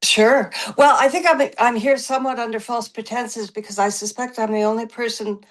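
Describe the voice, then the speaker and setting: A woman's voice: a little high, steady tone, moderate pace, low-quality recording. A woman speaking casually as a panelist in a discussion.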